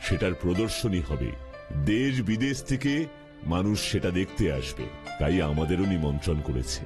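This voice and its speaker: Slow, kindly cartoon strongman. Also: deep voice, lively intonation. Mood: calm, friendly. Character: kindly strong superhero big brother, calm and knowing. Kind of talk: Bengali children's cartoon dubbing. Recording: music behind the voice.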